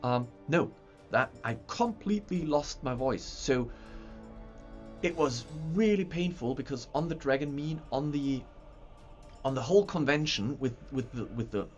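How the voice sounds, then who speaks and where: A man's voice: mid-range voice, unhurried. A man hosting a livestream, chatting casually in a rambling way with stumbling restarts and repeated words. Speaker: livestream host showing map software. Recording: dull lo-fi sound with faint music.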